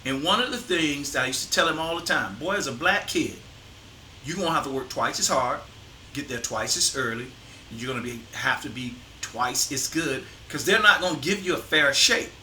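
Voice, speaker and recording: A middle-aged man speaks in a firm, emphatic way, leaning into his points. His voice is very bright and forward. The room is echoey, with a faint hiss behind him. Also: mid-range voice, animated, moderate pace. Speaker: host, father interviewing his son. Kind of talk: casual conversation.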